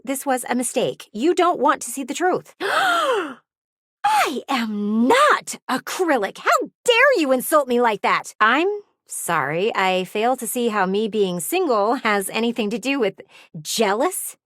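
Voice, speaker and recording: Game role: meddling, easily offended talking-window lady. Highish, very animated, gushing, theatrical. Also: no echo, studio quality. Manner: theatrical, gushing, indignant, condescending. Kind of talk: animated video game character acting.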